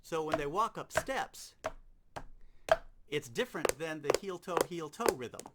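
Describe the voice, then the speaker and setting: High-pitched, lively man giving instruction. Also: a little slow, heavy background noise. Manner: informal, step-by-step explaining. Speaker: sound effects instructor. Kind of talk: instructional demonstration talk.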